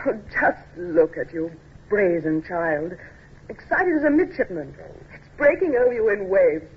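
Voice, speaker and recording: A woman acting a genteel, devoted English lady in an old-time radio drama, gracious and gently teasing. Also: fairly low pitch, sing-song, unhurried, long pauses, husky, exaggerated voice. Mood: knowing, brave. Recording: muffled, hissy old radio recording.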